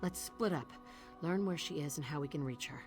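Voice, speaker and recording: Game role: determined crew-leading thief female actor, deep for a woman, music underneath. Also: a little fast, natural voice. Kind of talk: video game character acting. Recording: music behind the voice.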